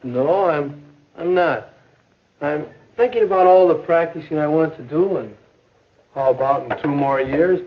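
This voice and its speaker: An American actor playing an earnest, self-doubting high school boy athlete in a 1950s educational film, with stagey delivery. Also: a little slow, husky. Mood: earnest, hopeful. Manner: earnest, stagey thinking aloud. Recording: muffled old 1950s film soundtrack.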